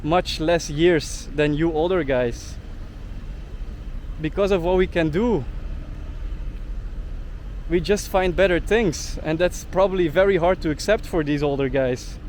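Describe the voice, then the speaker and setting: Young male vlogger, slightly high voice, indignant rant full of "like," faint street traffic behind. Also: measured pace, pauses often, darkish tone. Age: young adult. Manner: indignant, heavy use of "like" fillers. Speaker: travel vlogger. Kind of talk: ranting vlog monologue.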